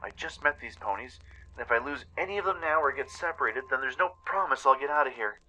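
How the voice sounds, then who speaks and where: A man's voice: medium pitch, animated, quick pace, sharp tone, voice pushed up, low-quality recording. A man voicing an anxious young pony adventurer in a radio play, hesitant and flustered.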